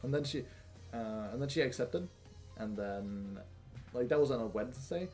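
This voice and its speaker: A young man hosting a casual Q&A video, talking in a flat, monotone way with lots of fillers and restarts. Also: medium pitch, normal speed, soft background music. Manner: monotone, rambling with many fillers. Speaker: gaming channel host. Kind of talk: casual Q&A monologue.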